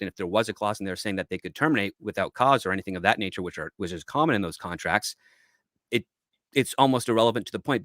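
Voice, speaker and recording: A man's voice: fairly low pitch, a bit bright, dry recording, clean studio sound. A man giving casual, opinionated commentary as a panelist in a discussion.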